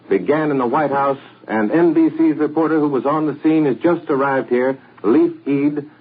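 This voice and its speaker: Husky American man on tinny 1940s radio. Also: medium pitch. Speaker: radio news anchor. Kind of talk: 1940s radio news broadcast commentary. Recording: tinny, muffled 1940s radio broadcast recording.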